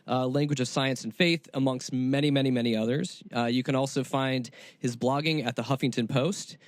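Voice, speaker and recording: A man's voice: mid-range voice, no room sound. A man hosting an interview show in a casual, informal and effusively appreciative way. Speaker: show host.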